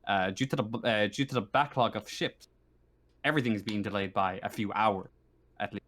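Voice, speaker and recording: A man running a tabletop roleplaying game as game master, narrating haltingly as he searches for his words. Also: lowish voice, fairly even intonation, fairly bright tone.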